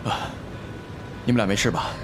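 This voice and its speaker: A man's voice: normal speed, noisy background, close to an everyday voice. An actor playing an anxious but decisive male survivor in a zombie audio drama, speaking urgently. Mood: worried. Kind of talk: Chinese audio drama acting. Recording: loud music and vehicle effects.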